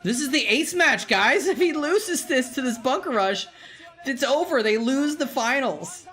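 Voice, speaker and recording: A man hosting a live stream, chatting casually and reacting excitedly to the game he is watching. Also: very high-pitched, measured pace. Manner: chatty, rambling, gets excited and laughs at the action.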